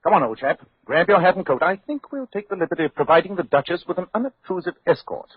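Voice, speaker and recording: A brisk, urbane British gentleman detective, precise and courteous in 1940s radio style. The voice is fairly high, swinging and slightly husky, on a muffled old recording. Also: lively intonation. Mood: keen, admiring.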